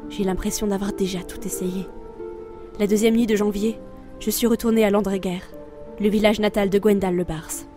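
A weary, brooding female reaper of souls, voiced by a woman, tells her own story in an audio drama. She is solemn and introspective, with music playing softly behind her. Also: medium pitch, close to an everyday voice. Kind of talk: first-person audio drama narration.

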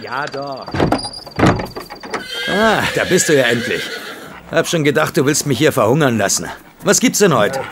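An actor playing a brusque, loyal young stable lad with a blunt manner in a German radio play. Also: male voice, mid-range voice, very animated, a little slow, pauses often. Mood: puzzled. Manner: blunt, gruff with strangers. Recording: clearly noisy background ambience. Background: stable with animal sounds.

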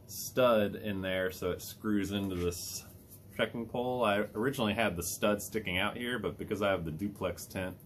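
A man in his thirties hosting a casual outdoor how-to vlog, talking in a relaxed, chatty way with many fillers, filmed on a phone held at arm's length on a mountain lookout tower. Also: on the low side, slight background noise. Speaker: vlogger host. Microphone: phone on a trekking pole at arm's length.

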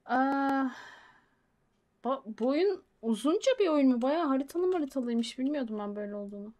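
A woman's voice: sits high, expressive, normal speed. A woman doing casual, playful gameplay commentary, talking to herself and slipping in English slang and swearing. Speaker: gaming streamer. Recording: game music behind the voice. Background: loud game music and sound effects.

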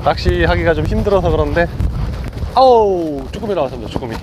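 A man with a high, lively voice talks through his fishing on the open deck of a boat, exclaiming at every bite. Strong wind and waves buffet the camera mic throughout. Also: a little fast. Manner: excited exclamations, chatty play-by-play with laughs. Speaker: fishing vlogger. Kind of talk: casual live commentary while fishing. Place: deck of a boat at sea, outdoors, windy.

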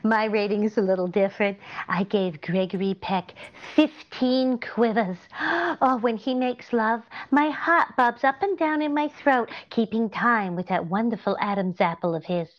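A woman's voice: medium pitch, moderate pace, few pauses, muffled recording, lightly acted. A middle-aged woman playing a chatty, dreamy housewife in a 1940s radio comedy. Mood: swooning, gossipy.

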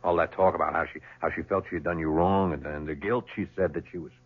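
A man's voice: sits low, steady tone, fast talker, husky. Desperate accused man, muffled radio sound. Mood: suspicious, insistent. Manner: insistent, arguing. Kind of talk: 1970s radio drama acting. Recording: muffled old radio broadcast.

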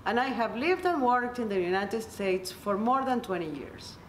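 A woman's voice: fairly high pitch, normal speed. A middle-aged woman from Venezuela, a native Spanish speaker with a Venezuelan accent, giving a scripted welcome address as an associate dean in an office. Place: indoor office by large windows. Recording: echoey room with slight background noise.

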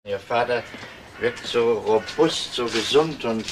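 An actor playing a calm police detective who asks short, probing questions in a crime radio drama. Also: male voice, mid-range voice, takes it slowly, background noise, lightly acted. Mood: probing, courteous. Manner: terse, questioning.

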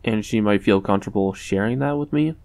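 A man's voice: on the low side, brisk, darkish tone, reverberant, in a large hall, close to an everyday voice. A man playing an anxious, brooding young man with a wry, suspicious streak in a comic dub. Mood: wary. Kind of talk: comic dub acting with inner-monologue narration.

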